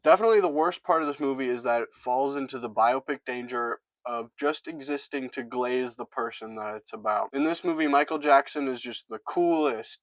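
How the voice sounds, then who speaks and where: A man's voice: medium pitch, bright, forceful tone, no echo, rough recording. A man reviewing a film in a casual, scripted monologue with sarcastic, jokey asides.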